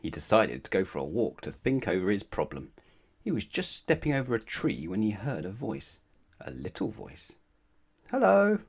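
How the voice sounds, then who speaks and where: A man narrating a children's bedtime storybook aloud in an expressive read-aloud style. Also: low voice, normal speed, slightly rough.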